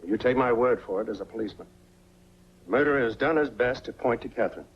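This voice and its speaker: A man's voice: mid-range voice, fast, husky, lightly acted. An actor playing a protective, plain-spoken small-town policeman, tough but tender, in the earnest style of 1940s film noir. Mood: firm, scheming. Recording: muffled 1940s film soundtrack with music behind.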